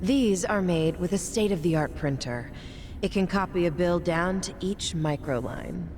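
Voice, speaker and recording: A woman's voice: fairly low pitch, a little slow, darkish tone, lightly acted. A woman acting a confident, flirtatious female crime boss in an English video game dub, with a poised, teasing manner. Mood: boastful, assured. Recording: clear background ambient noise.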